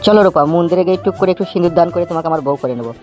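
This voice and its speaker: A man voicing an eager, wheedling suitor in an animated comedy. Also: high-pitched, rapid-fire, natural voice. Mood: coaxing. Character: wheedling, eager male suitor pushing for marriage. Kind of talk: animated Bengali comedy dialogue. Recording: dull lo-fi track, faint music.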